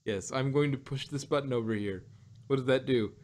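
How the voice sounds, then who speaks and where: A man's voice: medium pitch, brisk, a little mellow. Rambling man reviewing an audio drama. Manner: rambling, many restarts and quoted asides. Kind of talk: casual review chat.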